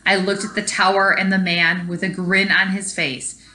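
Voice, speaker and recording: Woman, elementary school teacher, very bright voice, expressive read-aloud, explanatory lesson delivery. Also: medium pitch, moderate pace, low-quality recording.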